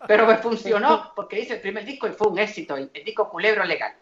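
A man's voice: sits high, lively intonation, fast talker, fairly bright tone. A man from the Dominican Republic, a singer and comedian, chatting as an interview guest with many hesitant fillers and restarts. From raised in the Dominican Republic. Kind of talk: casual interview chat. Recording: echoey and dull.

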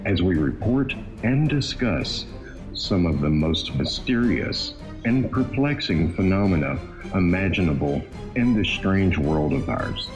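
A man's voice: low voice, animated, a little slow, over music, rough recording. A man reading a scripted, dramatic radio show introduction. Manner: dramatic, announcer-style delivery. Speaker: radio announcer.

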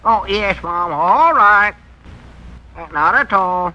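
Very bright, folksy rural storekeeper. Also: male voice, high voice, lively intonation, takes it slowly, heavily acted. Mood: folksy, flustered. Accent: rural Southern US. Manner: homespun, rustic grammar. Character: Abner, folksy rustic small-town storekeeper, male. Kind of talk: 1940s radio acting. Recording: muffled, hissy 1940s radio recording.

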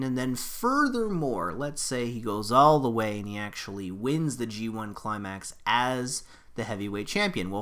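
A man's voice: on the low side, a bit bright. A man hosting a podcast, talking through wrestling news in a rambling, self-correcting, conversational way. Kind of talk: casual solo commentary.

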